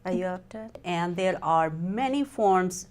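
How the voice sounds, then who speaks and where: A woman interviewing a guest in a casual way, encouraging and quick to laugh, with many short affirmations. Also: lowish voice, lively intonation, a little mellow.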